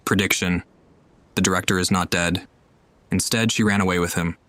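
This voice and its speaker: A man giving offhand, musing gameplay commentary in a deadpan manner. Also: sits low, moderate pace, slight background noise. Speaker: game streamer.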